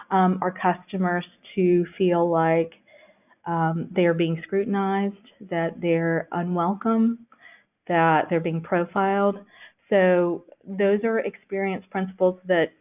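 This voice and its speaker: A woman giving a conversational library lecture, pausing often with "um". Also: medium pitch. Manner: conversational, frequent "um" fillers. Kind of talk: recorded lecture with slides. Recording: tinny phone-like recording.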